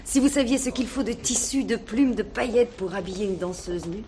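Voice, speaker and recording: A woman's voice: mid-range voice, a little fast, dark tone, a little hiss or hum, close to an everyday voice. An actress playing a poised, coy and amused revue showgirl in a French stage comedy.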